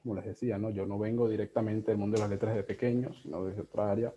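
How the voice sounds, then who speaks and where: A man in his thirties with a Venezuelan accent, talking casually and reflectively as an interviewed editor, in a halting, digressive way. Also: on the low side, level tone, warm tone, slight background noise. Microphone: video call. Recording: dull, lo-fi video call audio.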